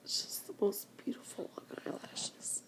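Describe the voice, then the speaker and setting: An older woman crying softly as she talks to her camera in a personal vlog, whispering slowly with deep emotion. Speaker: vlogger.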